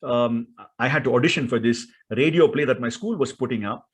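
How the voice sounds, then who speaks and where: A man's voice: mid-range voice, fairly quick, husky. A man with an Indian accent giving an instructional talk as a speaking coach, in an emphatic, theatrical way full of rhetorical questions.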